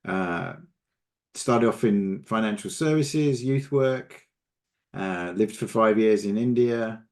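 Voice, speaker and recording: Low-pitched mature man, casual. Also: slow pace. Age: middle-aged or older. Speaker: leadership coach, podcast guest. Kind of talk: casual interview answers.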